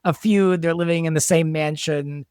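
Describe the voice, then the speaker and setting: A man's voice: fairly high pitch, fairly even intonation, moderate pace, a little mellow, smooth, no echo, studio quality. A man talking casually as a writer and director, discussing a drama production. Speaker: podcast guest, audio soap writer and director.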